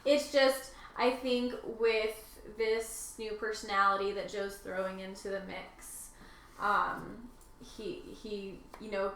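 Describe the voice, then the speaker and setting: A woman talks and reads aloud in an informal, conversational way. Her pitch is average for a woman. The room is echoey, with a faint layer of noise behind her. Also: fairly even intonation, takes it slowly, a bit bright. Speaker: co-host reading out reviews. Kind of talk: casual chat and reading aloud.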